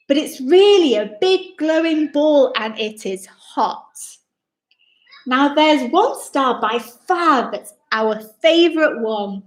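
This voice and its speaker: A woman with a high-pitched voice reads a children's picture book aloud in an echoey room. Her delivery is theatrical and very lively, with wide swings in tone and an unhurried pace. She speaks straight to her young listeners, exclaiming as she goes. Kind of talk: expressive rhyming read-aloud for young children. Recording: roomy, echoing indoor sound.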